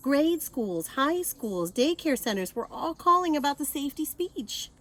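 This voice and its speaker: A woman reading a picture book aloud to children in an expressive manner, adding asides and questions for her young listeners. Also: high-pitched, measured pace.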